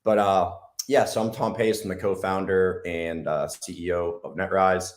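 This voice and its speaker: A man with a hoarse, raspy voice, talking casually as an interview guest with many ums and restarts. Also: deep voice, fairly even intonation. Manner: halting, lots of ums and restarts.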